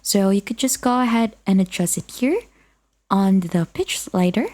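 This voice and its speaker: A woman narrates a how-to tutorial as its presenter. Her voice sits at an ordinary female pitch with a mellow, relaxed tone. She talks through each step in an instructional way. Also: normal speed. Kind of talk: instructional screen-recording narration.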